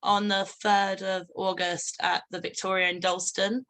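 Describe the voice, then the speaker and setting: Female interview guest, bright mid-pitched voice, breezy, slangy and jokey casual chat. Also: flat delivery, a little slow. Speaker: interview guest, band singer.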